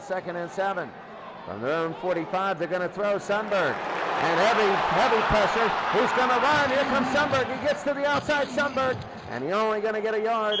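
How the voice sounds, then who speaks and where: An American man calling a football game play by play as a live radio announcer. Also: high voice. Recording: noisy game broadcast with crowd roar. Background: loud stadium crowd.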